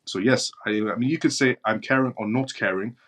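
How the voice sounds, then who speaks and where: A man's voice: mid-range voice, fairly quick, fairly bright tone. A man from northern Iran with as his hosts a live stream, answering viewer chat bluntly and repeating his points for emphasis. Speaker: live-stream show host.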